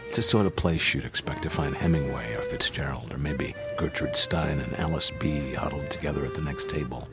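A man's voice: extremely low pitch, a little fast. An American man playing a world-weary lover narrating a film noir radio drama. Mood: brooding, wistful. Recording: music behind the voice.

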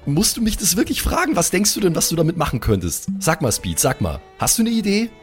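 A man's voice: a little high, wildly swooping pitch, fast, faint music behind. A man playing a tabletop game, speaking in a casual, colloquial, teasing way. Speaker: player in a tabletop role-playing podcast. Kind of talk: casual improvised in-character chat.

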